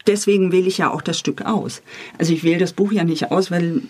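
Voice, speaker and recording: Husky-voiced middle-aged woman explaining her work. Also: on the low side, fast talker, warm tone. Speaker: radio editor and radio drama author, interview guest. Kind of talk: lively explanatory interview talk.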